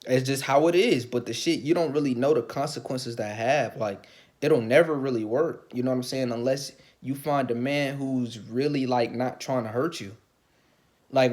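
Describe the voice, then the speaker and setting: A man ranting casually to the camera, swearing often, repeating himself and rising to loud, excited outbursts. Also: fairly high pitch. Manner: calls his own voice light. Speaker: vlogger.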